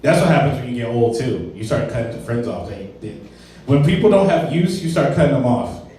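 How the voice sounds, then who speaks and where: A young man in the middle of a stand-up set, recorded from some distance in a very echoey club. His voice sits at an ordinary middle pitch, and a faint murmur of the room runs underneath. He tells his stories with lively, exaggerated voices for the people in them. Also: a little fast, a little hiss or hum. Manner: animated storytelling with put-on voices for friends and coworkers. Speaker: stand-up comedian. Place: comedy club stage. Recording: roomy, distant mic in a club.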